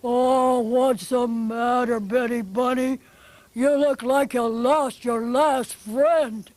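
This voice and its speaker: A woman voicing a gentle, fatherly male bear in a puppet show, talking slowly and soothingly. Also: a little high, fairly even intonation, no room sound. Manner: slow, soothing and reassuring.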